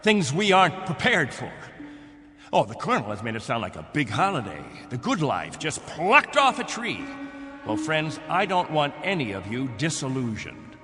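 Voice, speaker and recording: Desperate, domineering male colony leader; high, bright, preacherly delivery for reverberant radio drama with music. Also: wildly swooping pitch, moderate pace, exaggerated voice. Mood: insistent, impassioned. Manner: impassioned, preaching, emphatic. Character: desperate, controlling male captain of a stranded colony.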